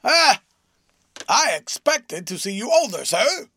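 A male narrator plays a loud, overbearing gentleman who hectors everyone in a tremendous, ferocious voice, pitched up and pushed hard. He speaks with pompous bluster in a storybook reading, the sound close and dry. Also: big pitch swings, moderate pace, no echo, full character voice. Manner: booming and ferocious. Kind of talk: audiobook character voice.

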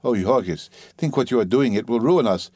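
A man voicing a desperate, ashamed young wife in an audiobook, pleading and halting as the words come out. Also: medium pitch, a little mellow, no echo, clean studio sound, lightly acted. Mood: wailing, pleading. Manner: wailing, halting, gasping, repeating pleas. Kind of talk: dramatic audiobook character reading.

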